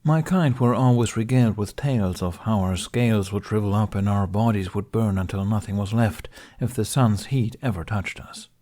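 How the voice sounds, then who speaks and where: A man voicing a proud, gruff male dragon in an audiobook, speaking bluntly and formally with grudging fondness. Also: on the low side, normal speed, few pauses, mellow, lightly acted. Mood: grumbling.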